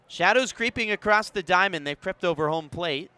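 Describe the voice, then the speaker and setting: Guy with a highish voice doing baseball radio play by play at the ballpark, measured but punchy on the calls. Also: bright, forceful tone, clean tone, dry recording. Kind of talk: live play-by-play commentary. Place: outdoors at a ballpark.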